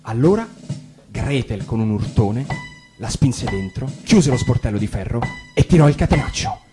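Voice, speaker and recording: A man narrating a fairy tale for radio, animated and bantering, sometimes stammering. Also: medium pitch, normal speed, loud music behind the voice. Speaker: actor reading a fairy tale. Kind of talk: acted radio storytelling.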